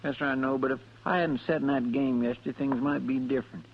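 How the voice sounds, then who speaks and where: A man's voice: mid-range voice, steady tone, fast talker, close to an everyday voice. A man acting an anxious, folksy American deputy sidekick in an old Western radio drama, deferential and hesitant. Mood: worried, guilty. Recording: dull, noisy old radio recording.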